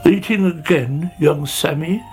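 A man voicing a slow, prim older male snail who sighs and disapproves, in a storybook. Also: on the high side, a little mellow, soft background music, voice pushed up. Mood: disapproving. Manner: sighing, disapproving.

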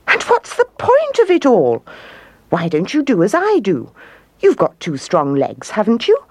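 A woman's voice: mid-range voice, huge pitch swings, measured pace, darkish tone, dry recording, pitched above the performer's own voice. A woman voicing a crafty, haughty queen kangaroo in a children's story, scornful and coaxing.

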